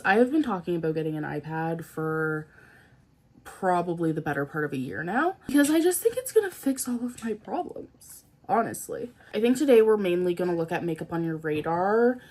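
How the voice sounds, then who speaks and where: Young woman, beauty vlogger, nasal, congested, animated, rambling, lots of filler words. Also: lowish voice. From Canada. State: congested from a cold, a bit nasal. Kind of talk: casual chatty product talk. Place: indoors at home, seated in a chair.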